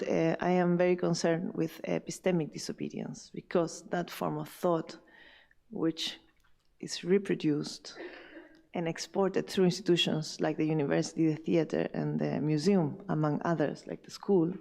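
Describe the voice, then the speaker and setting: A woman speaking as a panelist at a round table, thoughtful and halting, with many fillers and restarts. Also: fairly low pitch, vocal fry, mellow. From Chile.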